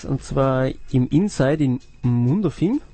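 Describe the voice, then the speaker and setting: A man's voice: medium pitch, lively intonation, slow, mellow, soft background music. A man from Upper Austria co-hosting a casual radio show and asking the guest questions. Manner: chatty, asks the guest questions. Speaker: radio co-host.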